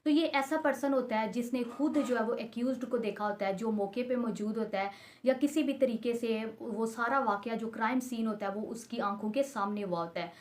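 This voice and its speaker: A woman's voice: on the high side, moderate pace. A woman with a Pakistani accent giving an explanatory law lecture, mixing in English terms and rephrasing her points in a didactic way.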